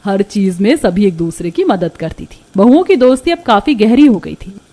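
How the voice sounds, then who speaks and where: A woman's voice: mid-range voice, sing-song, moderate pace, dark tone. A woman reading scripted narration for a cartoon story.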